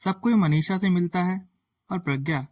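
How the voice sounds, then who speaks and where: A man's voice: sits high, normal speed. A man narrating a scripted moral story as a storyteller. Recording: faint background music.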